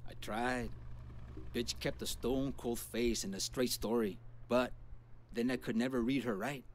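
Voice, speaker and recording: A man's voice: mid-range voice, steady tone, a little slow, lightly acted. An actor voicing a suspicious, menacing male gang boss in a video game, with a confrontational, streetwise, curse-heavy manner. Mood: grim.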